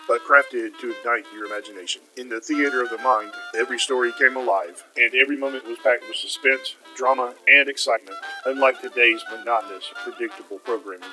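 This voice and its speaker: A man reading a scripted, dramatic promo narration in a smooth delivery. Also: mid-range voice, rough recording. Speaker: channel narrator.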